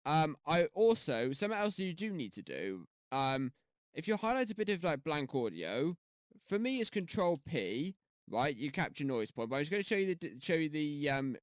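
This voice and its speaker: Man, rambling casual tutorial talk, headset mic, lively bouncing pitch, dry close sound. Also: normal speed, no room sound. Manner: rambling, chatty, many fillers and restarts. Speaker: YouTube tutorial host. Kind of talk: casual screen-recorded tutorial. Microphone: gaming headset mic.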